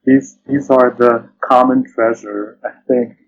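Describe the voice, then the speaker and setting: Halting, thoughtful man, dull lo-fi recording. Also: medium pitch, steady tone. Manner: halting, thoughtful, often says "you know". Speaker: interview guest, literary translator. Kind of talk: reflective interview conversation.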